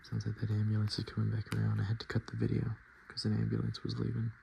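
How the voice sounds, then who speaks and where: A man with a fairly low voice speaks softly in casual ASMR commentary, slipping often into a whisper. His voice is heavily creaky, and he murmurs in a halting, hesitant way. There is clear noise in the recording. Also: completely flat delivery, dull audio. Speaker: ASMR video host. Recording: noticeable background noise.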